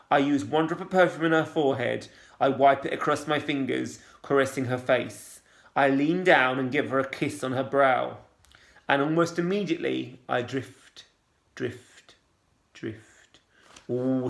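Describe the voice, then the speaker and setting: A man calmly and expressively reading a children's novel aloud, then posing questions to listeners like a teacher. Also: medium pitch, gravelly. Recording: roomy echo.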